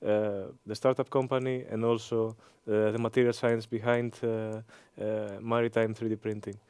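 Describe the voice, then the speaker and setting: Greek guy in his 30s, pretty flat monotone, lots of uhs, clear voice, dry room. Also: on the low side, normal speed, no echo. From Greece. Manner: hesitant, frequent uh fillers. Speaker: interview guest, engineering professor. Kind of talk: conversational interview answers.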